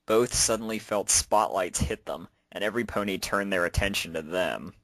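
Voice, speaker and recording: A man's voice: low-pitched, moderate pace, a bit bright, no echo. A man reading out a story as its narrator, in a scripted storytelling style. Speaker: narrator and fan fiction author.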